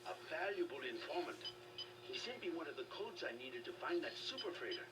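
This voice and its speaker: A man's voice: on the high side, sing-song. An actor playing a formal, secretive male resistance leader and scientist in a video game, on a noisy distant capture. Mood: measured, cryptic. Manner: formal, measured.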